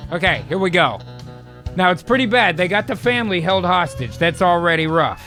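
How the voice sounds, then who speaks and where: A man co-hosting an improvised comedy show, mixing casual, halting banter with story narration. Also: high voice, lively intonation, sharp tone, faint music behind. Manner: profane, informal, halting asides.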